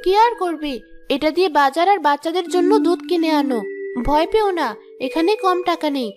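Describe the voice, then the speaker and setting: Cartoon role: a resourceful young wife, voiced by a woman, high voice, steady and reassuring, light music under her. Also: brisk. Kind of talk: Bengali cartoon voice acting.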